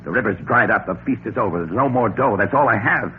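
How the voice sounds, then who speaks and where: Actor as deep-voiced anxious man, old radio mystery. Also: animated, fast talker, a little hiss or hum, natural voice. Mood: bitter, tense. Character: anxious guilt-ridden man under blackmail, narrating his own story. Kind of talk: 1940s radio mystery acting. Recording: tinny old radio recording, loud music.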